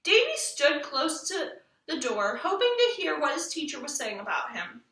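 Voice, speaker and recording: A woman reading a children's book aloud in an expressive storytelling style. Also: high voice, normal speed. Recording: roomy echo, distant from mic.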